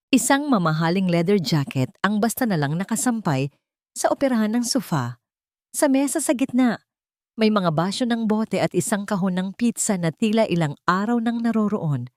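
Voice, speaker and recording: A woman's voice: deep voice, a little mellow, no echo, studio quality. A woman reading a scripted romance story as a dramatic narrator.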